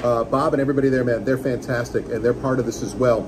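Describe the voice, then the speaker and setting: A man's voice: medium pitch, fairly even intonation, quick pace, noisy background. Enthusiastic man reviewing fish and chips. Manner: enthusiastic, joking, talks while eating. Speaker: radio host. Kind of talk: casual restaurant review vlog. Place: small restaurant dining room, indoors. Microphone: phone camera on table.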